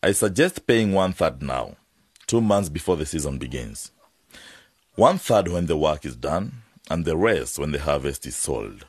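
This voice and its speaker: An actor playing an earnest, persuasive farm owner with a husky voice in a radio drama. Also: male voice, a bass voice, very animated, moderate pace, no room sound, full character voice. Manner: insistent, persuasive. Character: earnest farm owner and peanut producer bargaining for help.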